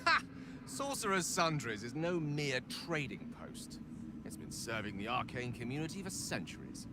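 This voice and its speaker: An actor playing an eager, learned wizard who talks with slightly theatrical enthusiasm. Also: male voice, medium pitch, big pitch swings, normal speed, background noise, lightly acted. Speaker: game companion. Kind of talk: video game dialogue. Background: music.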